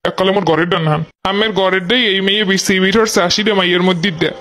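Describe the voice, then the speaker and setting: Man voicing a high, rapid grumbling village fellow. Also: fairly even intonation, pushed and bright. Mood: exasperated, grumbling. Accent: Barisal, Bangladesh. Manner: complaining, argumentative. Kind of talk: comic cartoon dialogue.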